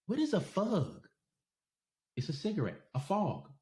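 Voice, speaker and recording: A man with a faint New York accent talks slowly and easily, stretching his words in relaxed podcast chat. He laughs often as he goes. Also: sits high. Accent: faint New York, softened by travel. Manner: laid-back, drawn-out, laughs easily. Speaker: podcast co-host.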